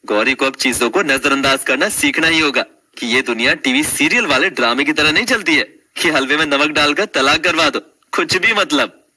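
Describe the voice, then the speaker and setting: Guy playing a young husband n brother, casual, reasoning, very bright sharp voice, bit high, story drama. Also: moderate pace, husky, exaggerated voice. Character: easygoing young husband and brother, protective, advising.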